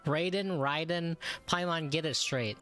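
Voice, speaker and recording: A man's voice: on the high side, measured pace, fairly bright tone. Slangy male gamer reacting, music behind. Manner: slangy, exclamatory. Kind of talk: casual gameplay reaction commentary.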